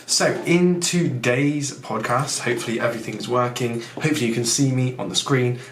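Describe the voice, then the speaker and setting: A young man with a husky, fading voice, a fitness coach hosting a casual podcast who rambles, mocks himself and talks straight to the listener. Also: mid-range voice, moderate pace. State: husky, losing his voice. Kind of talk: casual podcast talk, teaching. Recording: echoey room with faint background noise.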